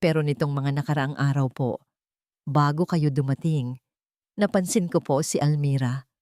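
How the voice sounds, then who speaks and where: A woman voicing an elderly male house helper in an audio story, soft-spoken, deferential and gentle in manner. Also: on the high side, fairly even intonation, moderate pace, no room sound, clean studio sound, close to an everyday voice. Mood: reluctant, insinuating.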